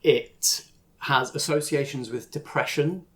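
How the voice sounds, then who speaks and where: A man's voice: mid-range voice, unhurried, fairly bright tone. Man narrating as game master. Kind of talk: narrating a tabletop role-playing game.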